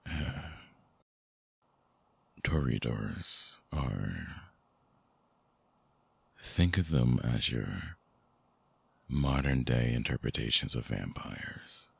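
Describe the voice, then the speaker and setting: A man playing a lovelorn, seductive vampire ex in an intimate ASMR audio drama, speaking softly and haltingly with a very deep, gravelly, husky voice. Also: animated, no room sound, full character voice. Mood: soft, alluring. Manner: slow, halting, softly explanatory.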